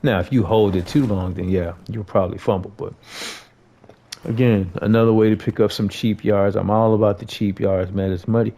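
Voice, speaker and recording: A man's voice: fairly low pitch, fairly even intonation, moderate pace, warm tone. An American man giving a casual, conversational gameplay tutorial, talking informally to viewers as a host.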